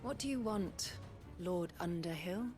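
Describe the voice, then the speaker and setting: A haughty, suspicious female townsperson in a dramatic game. Her lowish voice is pointed and sarcastic, over loud music. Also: animated, a little slow. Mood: cold sarcasm. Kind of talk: dramatic video game acting.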